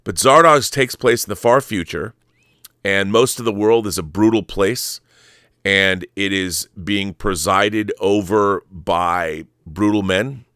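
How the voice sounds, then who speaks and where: A middle-aged man with a fairly low, clean and resonant voice, recorded close and dry. He hosts with casual, enthusiastic film commentary, wandering off on tangents and circling back. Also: slow, pushed and bright, clear, resonant tone, no room sound. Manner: enthusiastic, rambling, digressive. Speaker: show host.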